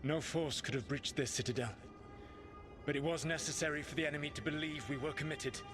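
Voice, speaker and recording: An actor playing a grave, commanding ancient elven mage in a video game, with formal, deliberate phrasing. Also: male voice, a little high, steady tone, lightly acted. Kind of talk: dramatic video game voice acting. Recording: loud music behind the voice.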